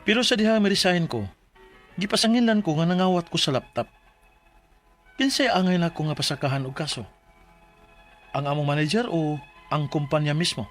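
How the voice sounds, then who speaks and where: A man's voice: a little high, a little fast, long pauses, fairly bright tone, soft background music, close to an everyday voice. A man playing a distressed young employee in a Bisaya radio drama, earnest and pleading, with a Cebuano Filipino accent. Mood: troubled, earnest. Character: distressed young male employee accused of stealing a laptop. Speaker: letter sender in a radio drama. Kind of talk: Bisaya radio drama acting and letter narration.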